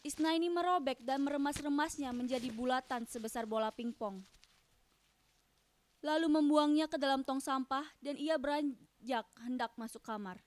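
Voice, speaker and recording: A woman narrator reading scripted stage directions as dramatic storytelling. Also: high voice.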